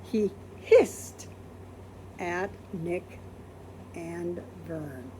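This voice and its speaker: A woman's voice: fairly low pitch, drawn-out words, background noise. A woman reading a children's picture book aloud expressively, acting out quoted words and putting questions to listeners. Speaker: storybook reader.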